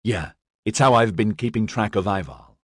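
A man's voice: lowish voice, lively intonation, normal speed, husky, no room sound, clean studio sound. Man as a troubled, earnest young squad leader. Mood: weary, defensive. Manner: earnest, strained, defensive.

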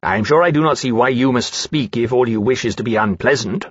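A man's voice: medium pitch, a little fast, husky, no echo, muffled recording, close to an everyday voice. A man voicing a sensitive, loyal dragon with a hesitant, plaintive, confiding manner in a fantasy audiobook. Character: earnest, sensitive male dragon, loyal, hesitant and easily hurt.

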